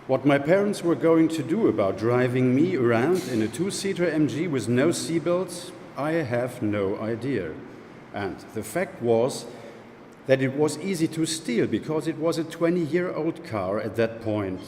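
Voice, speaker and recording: Lively man reading in an echoey room. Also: medium pitch, unhurried. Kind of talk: reading an interview script aloud. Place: gallery room, indoors. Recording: echoey gallery room, faint noise.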